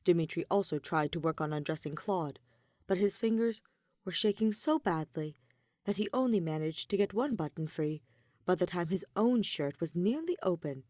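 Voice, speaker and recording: A woman's voice: lowish voice, animated, moderate pace, mellow, no room sound, clean studio sound. A woman reading scripted romantic fiction as a narrator. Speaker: podfic narrator.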